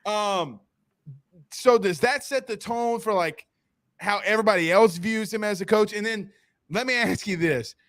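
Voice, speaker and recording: Guy hosting sports podcast, pretty high voice, dry close sound, super informal and animated. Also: a little slow, dry recording. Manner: animated, informal, peppers talk with "you know, like". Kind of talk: casual chatty interviewing.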